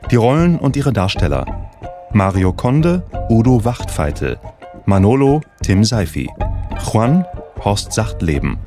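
A man announces credits for a radio production. He is formal and measured, with a lowish voice and lively intonation over clear music.

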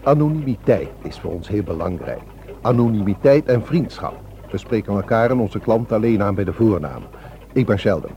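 Mellow, courteous helpline volunteer. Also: male voice, on the low side, animated, normal speed. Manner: polite, formal, principled. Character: courteous, firm male helpline volunteer guarding secrets. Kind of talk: radio drama acting. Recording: muffled, noisy old radio play recording.

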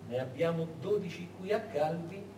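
A man narrating in dramatic theatrical style, deliberate and emphatic, with dramatic pauses. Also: on the high side, warm tone. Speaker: stage narrator and actor. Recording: echoey, noisy recording from far off.

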